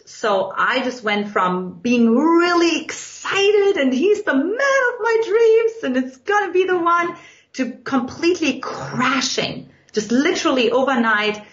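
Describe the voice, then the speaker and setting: German-accented woman in an echoey room. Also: a little high. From Eastern Germany. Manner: earnest, reflective, expressive storytelling. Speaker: guest, dating coach. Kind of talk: podcast interview storytelling. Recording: echoey, dull lo-fi sound.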